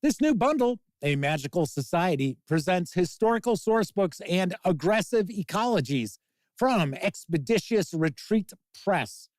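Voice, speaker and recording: A man's voice: sits high, lively intonation, unhurried, darkish tone, no echo, rough recording. A man with a Chicago accent hosting a casual livestream of tabletop gaming news, chatty and jokey as he talks with his chat. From Chicago, USA. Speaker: host.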